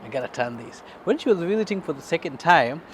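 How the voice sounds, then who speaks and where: A man's voice: on the high side, sing-song, fast, a little hiss or hum, low-quality recording. A man with a Kenyan accent chatting casually outdoors as he co-hosts a family garden vlog.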